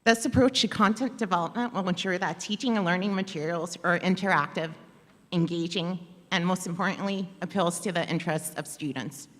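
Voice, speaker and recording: A woman guest speaker reading prepared official remarks in a measured, formal way at a podium microphone in a conference hall. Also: fairly low pitch. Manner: measured, formal, with soft um fillers. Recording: long hall reverb.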